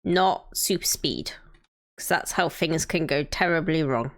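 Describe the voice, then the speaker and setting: A woman's voice: sits low, measured pace. Streamer, female, 30s; casual, chatty, greets viewers by name. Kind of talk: casual live-stream chat while playing a city-building game.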